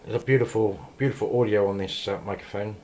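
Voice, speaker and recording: A man giving a casual, ad-libbed video tour in a hesitant, unhurried, rambling way, recorded on a handheld camera in a small room. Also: lowish voice, fairly even intonation, a little mellow. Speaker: amateur radio operator filming shack tour. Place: small indoor radio room. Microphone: handheld camera mic. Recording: noisy handheld camera sound.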